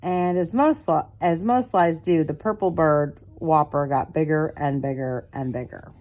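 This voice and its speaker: Older woman, low-pitched, grandmotherly storyteller, expressive bedtime reading, casual asides. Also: measured pace. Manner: expressive, commenting as she reads.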